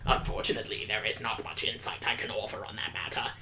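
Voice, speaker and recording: Male performer, grave schoolteacher, gravelly, formal, measured lecture, visual novel. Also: lowish voice, little pitch movement, bright, forceful tone, a little hiss or hum. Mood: solemn, didactic. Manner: formal, deliberate.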